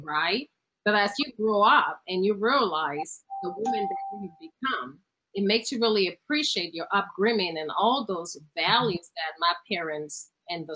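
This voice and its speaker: A middle-aged woman from Cameroon speaks as a television studio guest. Her delivery is earnest and motivational, and she often repeats a word while searching for the next one. Her voice sits at an ordinary pitch for a woman. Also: pushed and bright, rough recording. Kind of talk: conversational interview answer.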